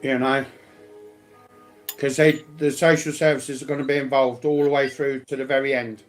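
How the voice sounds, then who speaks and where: Male, mid-pitched and fairly monotone, colloquial rambling chat with repeated phrases, faint music behind. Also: normal speed, poor audio. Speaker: speaker sharing personal life news.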